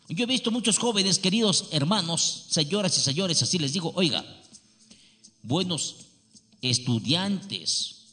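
A man delivering a preaching-style pitch for his healing services, emphatic and repetitive, with pleading appeals. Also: pushed and bright. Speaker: healer advertising his services.

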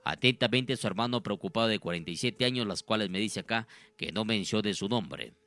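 A man's voice: fairly low pitch, a little fast, sharp tone, dry recording. Earnest male radio healer, very dry sound. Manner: repetitive, earnest, preacher-like phrasing. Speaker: radio host and faith healer. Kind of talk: live radio address to listeners.

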